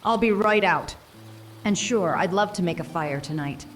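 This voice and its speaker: A woman's voice: lowish voice, moderate pace, soft background music, natural voice. A woman playing a cheerful, eager young female adventurer in a scripted fantasy audio drama. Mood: agreeable.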